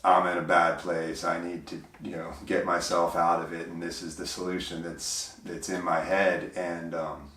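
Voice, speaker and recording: A man with a big, deep voice, speaking as an interview guest in a reflective, hesitant way, full of fillers and restarts. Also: flat delivery, normal speed, few pauses, fairly bright tone, distant mic, a little hiss or hum. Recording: roomy, voice from off mic.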